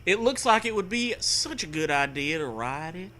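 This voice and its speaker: A man reading a comedy story aloud in an animated way, acting out the dialogue and bantering with casual swearing. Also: sits high, moderate pace, slight background noise.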